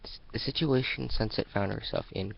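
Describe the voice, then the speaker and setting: A man reading a story aloud as an amateur dramatic narrator, halting and restarting lines as he goes. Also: fairly low pitch, vocal fry, highs cut off. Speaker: amateur fan fiction reader.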